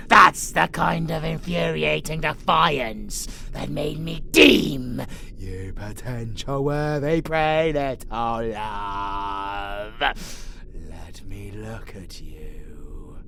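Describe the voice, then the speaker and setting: A man playing a menacing vampire abomination in a monster audio roleplay, theatrical and taunting, with a gravelly growl. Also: medium pitch, expressive, very slow, long pauses, slight background noise, exaggerated voice. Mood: mocking, fond. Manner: theatrical, taunting, foul-mouthed, swinging from whispers to shouts. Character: menacing vampire abomination, theatrical, sadistic, grudgingly fond predator. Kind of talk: monster audio roleplay, dramatic villain monologue.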